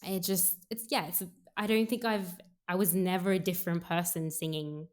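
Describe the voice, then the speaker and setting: Soft-spoken woman singer, low voice. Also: steady tone, moderate pace. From Sri Lanka, raised in Australia. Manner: soft-spoken, frequent "like" fillers, thinking aloud. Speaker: singer, guest. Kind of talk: casual interview conversation.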